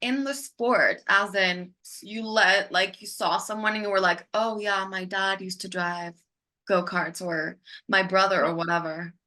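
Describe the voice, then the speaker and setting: Bright-voiced woman hosting a podcast. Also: mid-range voice, fairly even intonation, unhurried. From Mexico. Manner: warm-up chatter with lots of "like", laughs easily. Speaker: podcast host. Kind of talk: casual interview hosting.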